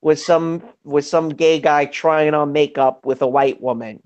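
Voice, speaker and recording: Guy in a chat, kinda higher voice, keeps stopping and restarting. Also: steady tone, a little mellow, clear, resonant tone, dull audio. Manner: halting, many restarts. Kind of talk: casual online group chat.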